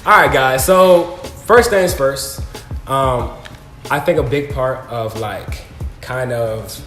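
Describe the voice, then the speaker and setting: A young male host leading a relaxed panel talk, his voice bouncing around an echoey room. He speaks loosely, full of "like" and "um," and reacts lively to his guests. Music plays clearly behind him. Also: medium pitch, slow pace. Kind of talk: casual panel discussion.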